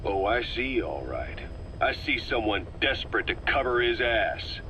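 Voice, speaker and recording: An actor playing a stern, sceptical military interrogator in a video game, speaking in a terse, guarded, deadpan way. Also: male voice, medium pitch, unhurried. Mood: sceptical, curt. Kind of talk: dramatic video game voice acting. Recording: faint background noise, cassette tape interrogation.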